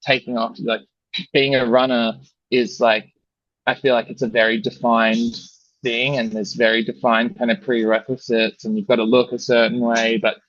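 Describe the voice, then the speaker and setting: An Australian man, a brand founder, chatting casually in an interview, relaxed and rambling with lots of "you know" and "like". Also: medium pitch, normal speed, no echo, highs cut off.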